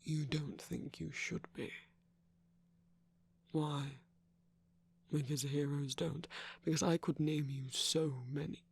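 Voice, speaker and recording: A performer playing a tender villain comforting a lover in an intimate ASMR roleplay, often whispering in a gentle, coaxing way close to the microphone. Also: medium pitch, fairly even intonation, slow pace, pauses often, full character voice. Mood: soft, probing. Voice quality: breathy, husky, often whispering.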